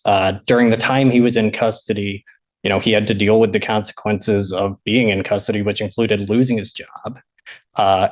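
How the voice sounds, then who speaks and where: An American man speaking as a defense attorney, making a measured, formal and respectful plea to a judge. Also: lowish voice. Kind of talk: courtroom argument to a judge. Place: indoor courtroom. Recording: muffled courtroom audio.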